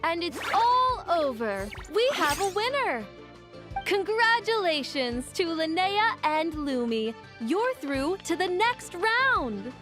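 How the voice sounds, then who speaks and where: A woman's voice: very high voice, very animated, slow, a bit bright, faint music behind, full character voice. An actress playing an upbeat young female match announcer in an anime-style video game. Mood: proclaiming.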